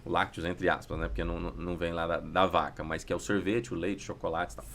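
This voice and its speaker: A man's voice: low-pitched, level tone, fast talker, a bit bright, a little hiss or hum. A Brazilian man explaining business ideas emphatically as a guest in a podcast conversation. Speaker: podcast guest, startup entrepreneur.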